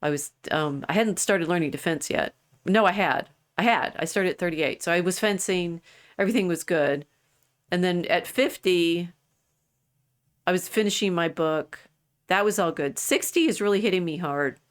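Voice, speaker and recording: A woman's voice: on the low side, normal speed. A woman in her sixties hosting a podcast in casual chat, rambling and restarting her sentences, with frequent laughs. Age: 60s. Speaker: podcast host.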